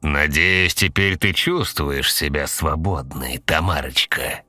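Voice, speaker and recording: A man voicing a sinister undead train conductor in a horror story, drawling with falsely sweet, ironic, calm menace. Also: very deep voice, takes it slowly, no room sound, full character voice. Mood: mocking.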